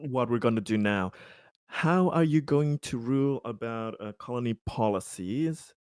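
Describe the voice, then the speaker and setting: A man playing in a tabletop role-playing game, speaking in a halting, deliberate way as he searches for words. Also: mid-range voice, darkish tone, clean tone, no echo, studio quality. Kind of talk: role-play and game discussion.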